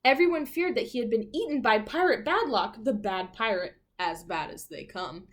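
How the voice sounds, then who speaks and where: A woman teacher reading a picture book to young children, expressively, with chatty asides and questions. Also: on the high side. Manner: expressive, pausing to comment on pictures and prompt children. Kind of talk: storybook read-aloud with asides and questions to young listeners.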